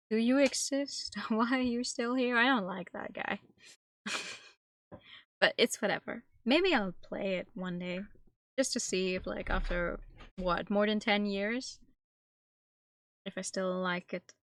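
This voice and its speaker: A woman's voice: mid-range voice, a bit bright, no room sound, clean studio sound. A woman live streaming a game, chatting casually and informally with her viewers. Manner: informal, conversational, talking to viewers. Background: background music.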